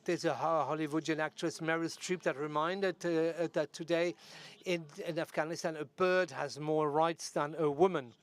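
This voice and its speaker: A man with a slightly high voice interprets a debate live. He delivers the sentences piece by piece, in a halting way. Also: steady tone, clear, resonant tone.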